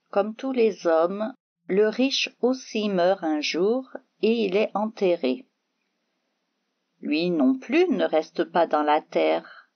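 A woman tells a children's Bible story at an unhurried pace. She is expressive and speaks straight to her young listener. The recording is close and dry, with a slightly muffled, lo-fi sound. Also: medium pitch, no room sound.

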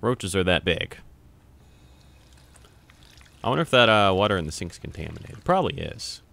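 A man's voice: sits low, big pitch swings, moderate pace, creaky. A young man playing a video game, chatting casually, swearing and yelping when startled, and reading on-screen text aloud. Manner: loud startled yelps and swearing. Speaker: gamer playing on camera. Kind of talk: casual let's-play commentary.

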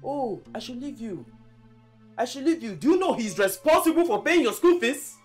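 A woman's voice: sits high, animated, moderate pace, soft background music. An actress playing a bossy young woman who reproaches her brother sharply. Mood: scolding. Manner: reproachful, scolding. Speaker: daughter.

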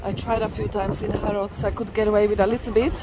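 A woman's voice: mid-range voice, level tone, fast talker. A woman vlogger chatting casually and emphatically as she narrates a walk outdoors. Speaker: walking tour vlogger. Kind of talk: casual live narration while walking. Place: busy city street outdoors. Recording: outdoor street noise with traffic. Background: urban ambience, passing vehicles.

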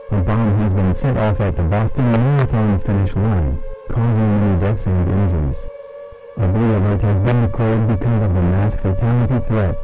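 A man's mechanical voice reading a scripted emergency alert announcement in a flat way. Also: on the low side. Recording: dull lo-fi broadcast audio.

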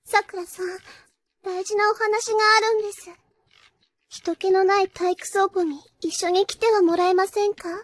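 Casting: an anime angel girl, extremely high childlike voice, earnest and over-polite, a hapless would-be killer who flusters easily. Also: fairly even intonation, moderate pace, no room sound, clean studio sound. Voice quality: childlike, cutesy. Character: clumsy, polite young angel girl sent to kill. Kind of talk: Japanese anime character acting.

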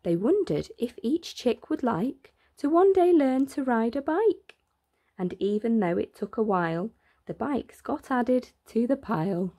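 A woman reading a rhyming children's picture book aloud in an expressive, deliberate way, drawing out words for emphasis. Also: fairly high pitch. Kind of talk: expressive read-aloud of a rhyming bedtime story.

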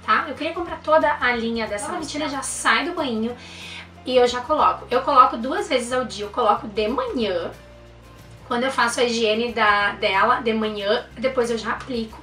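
A woman's voice: fairly high pitch, a little fast, a bit bright, roomy sound, far from the mic, faint music behind. A young woman, reviewing baby products in a chatty, enthusiastic way and using lots of diminutives. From Brazil. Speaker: mom vlogger. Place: indoor room.

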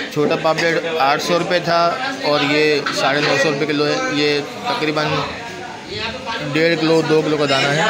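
Pakistani man touring a loud fish market. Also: on the high side. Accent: Pakistani Urdu. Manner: explains each fish, then calls out to sellers for prices. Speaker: market vlogger. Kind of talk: walk-through market vlog. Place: busy indoor fish market. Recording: loud crowd noise behind. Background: loud market crowd.

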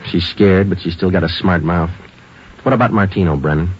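A man's voice: deep voice, brisk, breathy edge. An actor playing a terse, matter-of-fact male police detective who also narrates, in a 1950s radio drama. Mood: stern, probing. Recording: dull old radio broadcast.

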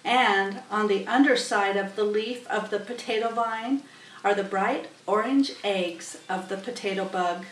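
A woman's voice: mid-range voice, unhurried, fairly bright tone, slight background noise. A middle-aged woman narrating a children's story aloud, recorded on a webcam in a small room. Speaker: storybook reader. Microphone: webcam a little way off. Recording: roomy, distant webcam microphone.

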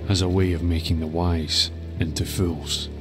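An actor playing an older, weathered hillman and protective father in a video game, gruff and wry with folksy phrasing. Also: low voice, little pitch movement, a little slow, over music. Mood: warm reminiscing. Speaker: game character.

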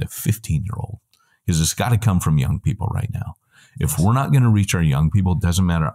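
Deep-voiced middle-aged man on a video call. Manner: animated, with quick asides and self-corrections. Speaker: guest, church planting trainer. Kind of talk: long conversational answer. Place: indoor room at home. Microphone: wired earbuds on a video call.

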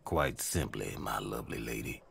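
A man playing a smooth, vain, flirtatious big hippo in a video game, crooning and boasting suavely. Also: a bass voice, normal speed, a bit bright, a little hiss or hum, exaggerated voice. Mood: boastful flirting. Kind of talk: video game character acting.

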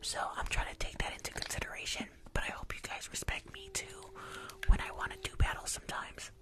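A woman in her twenties chatting casually with viewers in a soft, breathy ASMR whisper close to a microphone, often repeating her phrases. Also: a little fast. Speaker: ASMR live streamer. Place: small indoor room. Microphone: desk microphone at close range.

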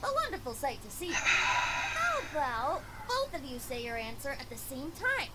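A woman's voice: high voice, big pitch swings, moderate pace. A woman voicing a cheerful young male bard who hosts riddles in a video game, eloquent and showy. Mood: genial. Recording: game audio with background noise.

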